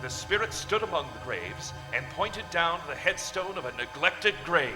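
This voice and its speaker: A solemn storyteller of a ghost tale, with a high-set, ominous voice. His dramatic narration rings with long reverb over loud music. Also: very animated, moderate pace. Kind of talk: dramatic scripted storytelling, staged radio play.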